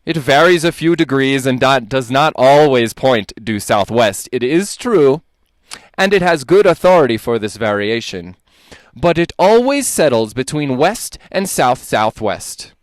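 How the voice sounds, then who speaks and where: A man's voice: medium pitch, very animated, a little slow, dry recording. Man giving a dry, close dramatic reading. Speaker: dramatic reader. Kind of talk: dramatic reading of a 19th-century lecture.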